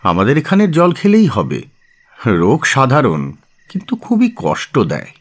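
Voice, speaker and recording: A learned monk physician in a historical radio drama. His voice sits at a middling pitch but moves widely, formal and earnest, with a grave weight to each phrase. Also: huge pitch swings, a little slow, husky, exaggerated voice. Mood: explanatory, concerned. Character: grave Buddhist monk physician facing a deadly threat. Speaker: actor in an audio story. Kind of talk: dramatic radio play dialogue in period setting.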